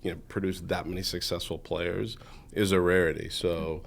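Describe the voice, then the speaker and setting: A man's voice: deep voice, flat delivery, normal speed, vocal fry. A man, telling his story as an interview guest in a rambling way, full of "you know" fillers. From Massachusetts. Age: 40s. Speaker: guest, entrepreneur.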